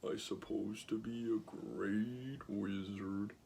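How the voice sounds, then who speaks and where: A man's voice: lowish voice, steady tone, slow, creaky, mellow, slight background noise. A man voicing a timid little old humbug wizard from a storybook, trembling, meek and pleading. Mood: meek, hushed.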